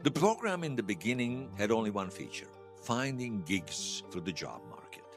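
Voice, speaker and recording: A man's voice: on the low side, sing-song, normal speed, music in the background. An actor playing a nostalgic, wryly amused man recalling his past conversationally in an interview-style audio drama. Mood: reflective, explanatory. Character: nostalgic man recalling lost love in a future world.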